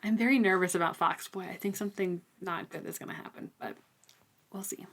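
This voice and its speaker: A woman's voice: mid-range voice, a little fast, fairly bright tone. A woman co-hosting a book podcast in casual, conversational chat.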